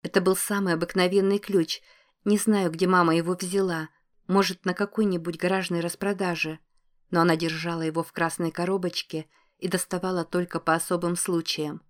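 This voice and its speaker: A guarded teenage girl as a woman reader plays her, careful and emotional. Her audiobook lines come in a fairly low, level voice, as if slowly opening up. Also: flat delivery, natural voice. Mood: wistful, opening up. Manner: careful, slow to choose words, emotional. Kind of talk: audiobook character dialogue.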